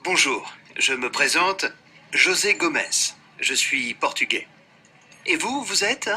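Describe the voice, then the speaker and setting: A man's voice: a little high, lively intonation, slow, sharp tone, full character voice. A man voicing an adult man who introduces himself politely in a scripted textbook dialogue.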